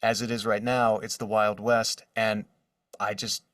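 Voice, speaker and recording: A man's voice: on the low side, little pitch movement, a little slow, fairly bright tone, no echo, clean studio sound, far from the mic. A man speaking as a voice actor guest in a casual podcast interview, conversational and given to quoted asides.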